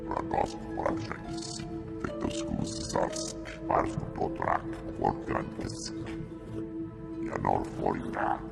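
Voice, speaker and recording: A hulking reptilian alien from a video game, growling slowly in a guttural alien tongue. The voice is extremely deep and thick with gravelly croak. Loud music plays behind it. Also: male voice, flat delivery, exaggerated voice. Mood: guttural, deliberate.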